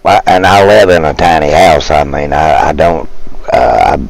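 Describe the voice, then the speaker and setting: A man from Virginia, chatting casually with live viewers, rambling and halting as he searches for words. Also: a bass voice, lively intonation, gravelly. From West Virginia. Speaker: streamer.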